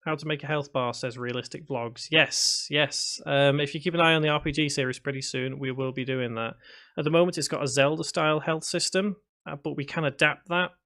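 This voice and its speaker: A man's voice: a little high, fairly even intonation, fairly quick, bright, forceful tone. A man in his thirties from Liverpool with a Scouse accent, chatting casually on a live stream as he reads viewer comments aloud and answers them. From Liverpool, England. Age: 30s. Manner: reads chat aloud and answers, with restarts and fillers. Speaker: game development streamer.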